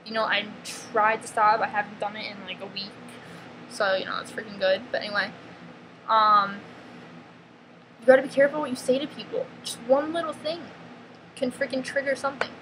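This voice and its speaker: A woman from the northeastern US with a New York accent speaks in a slightly high voice, blunt and emphatic, breaking off and restarting her sentences. Steady background noise sits clearly under her voice. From northeastern US, near New York. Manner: blunt, emphatic, peppered with "freaking" and "like"; halting, restarts mid-sentence. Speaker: vlogger. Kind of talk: confessional vlog monologue. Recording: noticeable background ambience noise.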